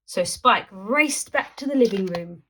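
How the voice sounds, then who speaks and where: A woman storyteller reading a children's picture book aloud in an animated narrating style. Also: medium pitch, measured pace, a little hiss or hum.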